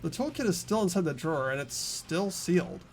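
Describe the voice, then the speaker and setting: A man's voice: a little high, sing-song, a little fast, creaky, faint music behind. A man voicing an anxious, earnest teenage boy, the protagonist of a video game, narrating his thoughts dramatically.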